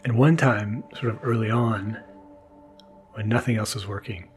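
Male podcast host, lowish voice, soft-spoken and hesitant, casual bedtime monologue with faint music behind. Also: fairly even intonation, normal speed. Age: adult, new father. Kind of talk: casual, intimate monologue to listeners. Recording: mellow music underneath. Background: soft mellow music.

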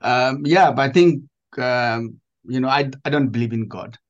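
A man in his forties from Nepal with a Nepali accent, answering interview questions haltingly and repeating himself as he searches for words. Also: mid-range voice, lo-fi sound. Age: 40s. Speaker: interview guest, adventurer and army veteran.

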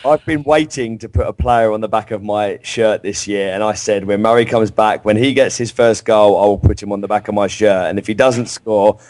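A hoarse man co-hosting a football fan radio show, excitable and jokey. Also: on the low side, normal speed, hardly any pauses. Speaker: co-host. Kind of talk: casual fan radio chat.

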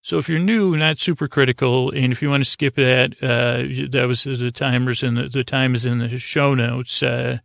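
A man hosting a bedtime podcast in a rambling monologue, stammering and derailing himself, with a gravelly, creaky voice. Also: medium pitch, steady tone, moderate pace, hardly any pauses, a bit bright, no room sound, studio quality. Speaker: podcast host.

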